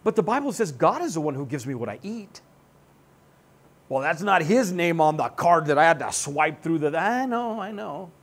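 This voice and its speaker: A lively joking pastor in his sixties. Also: on the high side, moderate pace. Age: 60s. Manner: animated, joking, gestures broadly while seated. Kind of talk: sermon. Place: church stage with band gear.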